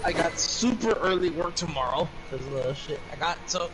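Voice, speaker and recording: A man's voice: on the high side, moderate pace, a loud crowd. A man chatting casually and swearing often in a game stream's voice chat. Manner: frequent swearing. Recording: clearly noisy voice chat.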